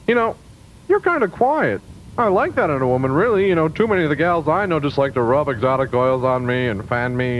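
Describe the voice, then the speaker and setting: An actor playing a vain, indignant little male robot on a comedy show, blustering and smooth-talking. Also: a little high. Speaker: show regular. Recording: dull lo-fi TV recording with faint hiss.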